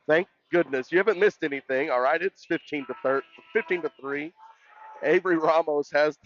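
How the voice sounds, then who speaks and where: A man with a loud voice doing live play-by-play volleyball commentary in an excitable, folksy way. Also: on the high side, normal speed, muffled recording. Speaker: sports play-by-play announcer.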